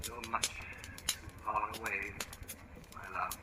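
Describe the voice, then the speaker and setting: A man playing a shrewd, mocking, sinister accuser in a vintage radio drama, speaking in a suave, deliberate, taunting way. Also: on the low side, little pitch movement. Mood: sinister seductive. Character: shrewd, mocking male accuser, secretly a sinister returned spirit. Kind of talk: 1940s-50s radio drama acting. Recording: noisy, crackly vintage radio recording.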